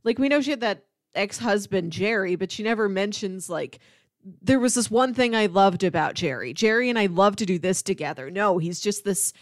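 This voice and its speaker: A woman hosts a casual film discussion in a medium-pitched voice. She tends to act out imagined lines as she explains her points. The sound is close and dry. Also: lively intonation, normal speed, no echo. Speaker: film discussion podcast host.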